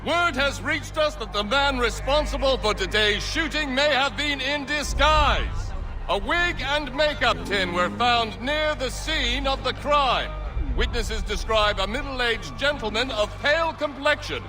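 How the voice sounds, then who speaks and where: An actor playing a loud, formal colonial town crier, declaiming a public proclamation in a video game. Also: male voice, very high-pitched, animated, unhurried, music in the background. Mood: proclaiming.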